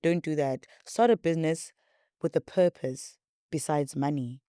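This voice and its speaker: A young woman with a South African accent and a deep voice, hosting her podcast in a rambling, repetitive, chatty way. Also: normal speed, dark tone, smooth, dry recording, studio quality. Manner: rambling, repetitive, chatty, says she talks a lot. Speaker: podcast host. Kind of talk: casual chat to viewers.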